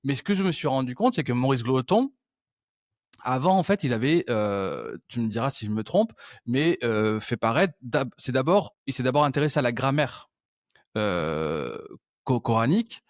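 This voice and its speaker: A man's voice: mid-range voice, sing-song, normal speed, dry recording, clean studio sound. A man hosting a conversational interview, thinking aloud and circling back with frequent restarts. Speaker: podcast host.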